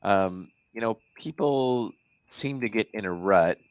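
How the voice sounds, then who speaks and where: A man's voice: lowish voice, slow, clear, resonant tone, no echo, low-quality recording. A man in his fifties hosting a podcast interview, asking questions in a friendly, encouraging way. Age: 50s. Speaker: podcast host.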